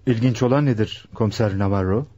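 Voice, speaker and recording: An actor playing an assertive, impatient lawyer and protective fiancé in a radio play, with an argumentative, insistent manner. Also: male voice, fairly low pitch, quick pace, dark tone, dull audio, close to an everyday voice. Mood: challenging. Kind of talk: radio theatre acting.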